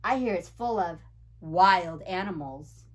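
A woman's voice: fairly low pitch, lively intonation, slow. A woman voicing a timid, nervous little male friend from a children's storybook in an anxious, exaggerated way.

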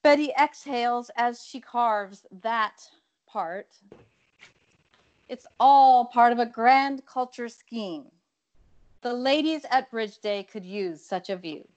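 A woman's voice: fairly high pitch, slow, darkish tone, muffled recording. A middle-aged woman poet introducing and reading her own work over a video call. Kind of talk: casual introduction and theatrical poetry reading. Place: indoor room with bookshelves.

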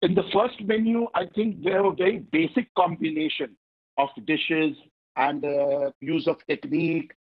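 Middle-aged man, Indian accent, chef, video call interview, a bit high, muffled sound. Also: fairly even intonation. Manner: earnest, searching for words, halting. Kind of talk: conversational interview answers. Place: indoor room at home.

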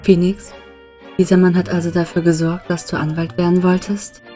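A woman playing a calm, gently sardonic mentor lawyer in an animated courtroom drama. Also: fairly low pitch, moderate pace, lightly acted. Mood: curious, composed. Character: composed, wry female mentor lawyer. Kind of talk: animated courtroom fan dub acting. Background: background music.

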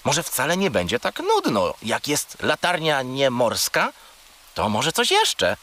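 A man's voice: medium pitch, measured pace, heavily acted. A man voicing a small, furry, childlike male creature, curious and naive, in a children's audio drama. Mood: curious, unimpressed. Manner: excitable, opinionated, childlike.

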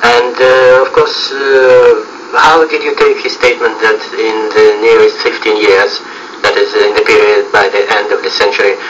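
A man's voice: on the low side, little pitch movement, moderate pace, fairly bright tone, faint music behind. A man from the Soviet Union asking formal questions as a radio interviewer. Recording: distant, muffled shortwave radio, faint noise.

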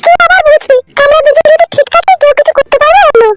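A woman playing a sly, scolding young village wife in a comedy cartoon, with a shrill, wheedling manner. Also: faint music behind. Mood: coy. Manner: shrill, wheedling, quick to snap back.

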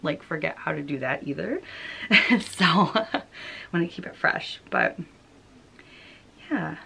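A woman's voice: sits low, animated, slow, creaky, pushed and bright, slightly rough. An American woman in her thirties chatting casually and informally as a vlog host, recorded in a small room at home. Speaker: knitting podcast host.

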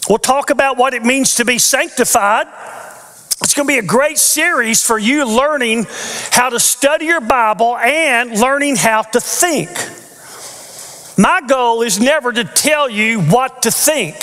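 Bright-voiced older man preaching. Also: extremely high pitch, a little slow. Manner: earnest teaching with playful asides. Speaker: pastor. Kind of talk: sermon. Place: large church stage with audience. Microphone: headset mic. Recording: long reverb of a large church hall.

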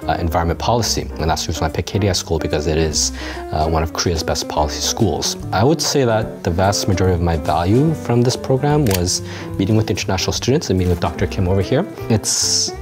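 Man in his 30s from Canada for an interview. Low voice, measured and articulate, lapel mic, music bed underneath. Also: animated. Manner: articulate, measured, with occasional fillers. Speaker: graduate alumnus interviewee. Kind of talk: interview answers. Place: dim studio set with bookshelves. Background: soft background music.

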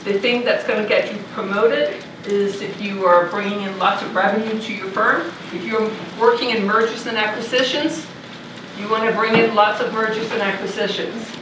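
A woman's voice: mid-range voice, steady tone, normal speed, noisy background. A middle-aged woman from Denmark giving a conversational university lecture in a large lecture hall, recorded from far off. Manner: conversational, thinking aloud with fillers. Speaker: visiting lecturer. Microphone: room mic far from speaker.

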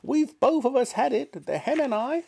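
A man reading a storybook aloud and voicing a boastful, cackling old grandmother in a shrieking falsetto. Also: moderate pace, gravelly, a little mellow, breathy edge, exaggerated voice. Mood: gleeful shouting.

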